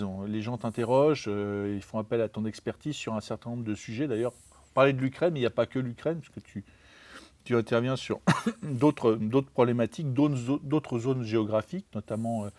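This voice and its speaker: A man's voice: mid-range voice, moderate pace, darkish tone, no echo. A man interviewing a guest, asking questions hesitantly with many fillers and restarts. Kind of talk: conversational interview.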